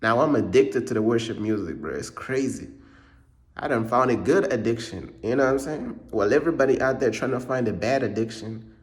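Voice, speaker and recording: A man's voice: mid-range voice, fairly quick. Slangy young man from Uganda. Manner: slangy, repetitive, peppers speech with bro. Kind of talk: casual vlog monologue.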